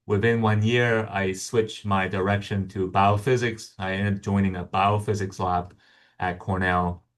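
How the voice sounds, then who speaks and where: Deep-voiced hesitant male scientist. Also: flat delivery, measured pace. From Hong Kong. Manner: hesitant, many ums and restarts. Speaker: guest scientist. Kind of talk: conversational interview answers.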